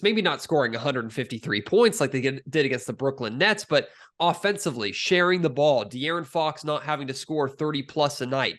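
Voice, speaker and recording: Higher-pitched American male sports podcast host. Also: fairly bright tone. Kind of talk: podcast hosting and interviewing.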